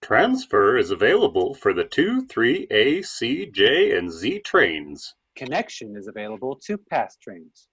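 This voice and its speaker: A man's voice: mid-range voice, wildly swooping pitch, a little slow, fairly bright tone, husky, no echo, full character voice. A man playing an automated male subway announcer in a play reading, with formal, procedural delivery.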